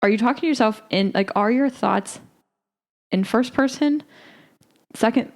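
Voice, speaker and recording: A young adult woman co-hosting a podcast, talking in a reflective, halting way with frequent filler words. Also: on the high side. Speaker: podcast co-host. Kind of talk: casual chat.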